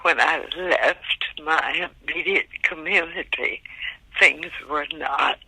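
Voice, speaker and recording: A woman in her eighties from Montgomery, Alabama, recalling her childhood slowly and reflectively for a family oral history interview, with soft pauses. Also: low-pitched, vocal fry, husky, no room sound, low-quality recording. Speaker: interviewee, great-aunt.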